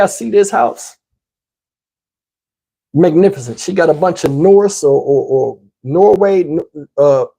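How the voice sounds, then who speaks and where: A man with a husky, slightly rough voice tells a personal story as the host of his own channel. His delivery is casual and slangy. He animatedly plays out both sides of conversations for comic effect. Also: sits high, poor audio. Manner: animated, slangy, acts out dialogue.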